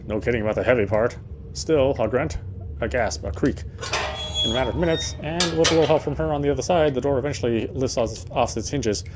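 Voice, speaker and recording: A man's voice: mid-range voice, fast talker, heavy background noise. A man reading a horror game's first-person narration aloud in a dramatic storytelling style. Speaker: let's player reading game text aloud.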